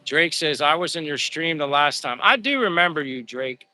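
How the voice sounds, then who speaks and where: Bright, chatty middle-aged man, going hoarse. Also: a little high, animated, normal speed, soft background music. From Pennsylvania, USA. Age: 50s. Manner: rambling, chatty, answers viewers by name. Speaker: livestream vlogger. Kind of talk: casual live chat reading comments while walking. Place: outdoors on a walk.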